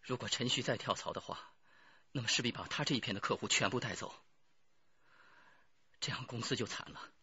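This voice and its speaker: An actor playing a defiant, cornered murder plotter under interrogation in a radio drama, speaking deliberately. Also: male voice, mid-range voice, bright, forceful tone, breathy edge, no room sound, highs cut off, full character voice. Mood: confessing.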